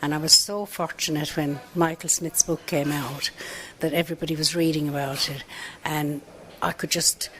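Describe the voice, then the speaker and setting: Irish woman, low register, relaxed raconteur who runs a pub. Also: normal speed, rough recording. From Ireland. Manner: chatty, many "you know" asides. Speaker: pub owner. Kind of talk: relaxed storytelling interview.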